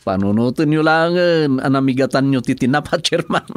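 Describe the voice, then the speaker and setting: A man with an Ilocano Filipino accent playing a cheeky village watchman in a radio comedy, teasing in a drawn-out sing-song. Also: mid-range voice, dry recording, natural voice. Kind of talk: radio drama comedy acting.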